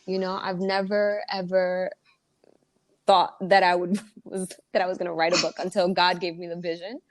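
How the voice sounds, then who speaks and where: A woman's voice: on the low side, level tone, moderate pace. A woman from the Dominican Republic, an author and entrepreneur guest, speaking earnestly and with motivational emphasis in casual interview talk.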